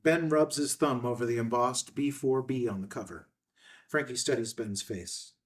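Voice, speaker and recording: A man's voice: mid-range voice, normal speed, recorded from a distance. A man reading stage directions as narrator at a screenplay table read.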